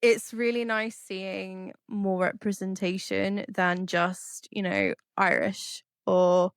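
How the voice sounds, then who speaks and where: Brief: Cornish-born woman, mid-pitched, podcast co-host, easygoing, dry close mic. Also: expressive, takes it slowly, clean tone, dry recording. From Cornwall. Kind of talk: casual podcast chat.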